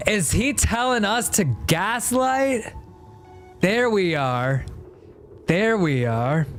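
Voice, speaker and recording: A man hosts a casual game stream with a joking, expressive delivery. His voice sits a little high for a man. He takes his time, with light music playing behind him. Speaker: game livestreamer. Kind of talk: casual stream chat mixed with reading game dialogue.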